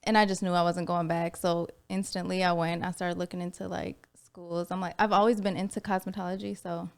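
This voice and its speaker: A young woman talking softly and easily as a podcast guest, telling her story in a laid-back way. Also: on the low side, flat delivery, brisk, creaky. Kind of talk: casual conversation.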